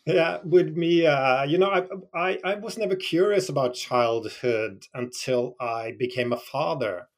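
A man's voice: on the high side, a little slow, hardly any pauses. A man from Norway, a film director, answering interview questions in a thoughtful, hesitant way with fillers.

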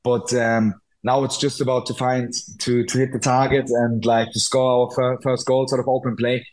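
Young male German footballer, slight Scouse lilt, casual halting interview delivery, little pitch movement. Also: level tone, darkish tone, low-quality recording. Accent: German with a touch of Scouse. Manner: halting, repeats words. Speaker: football goalkeeper being interviewed.

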